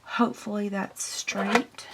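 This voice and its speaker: A woman demonstrating paper crafts in a casual tutorial, her voice squeaky from strain, chatting through each step with ums. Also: level tone, takes it slowly, background noise.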